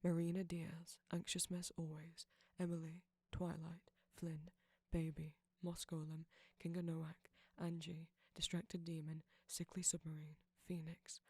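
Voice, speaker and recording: Male audio creator, scripted sign-off read: breathy, little pitch movement, very dry close sound. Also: completely flat delivery, a little slow, few pauses, a little mellow, dry recording.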